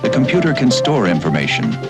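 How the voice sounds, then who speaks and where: A man's voice: on the low side, huge pitch swings, a little fast, vocal fry. A man reading scripted informational narration as an announcer on a 1970s TV tape. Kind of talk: scripted narration, educational TV spot. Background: loud music.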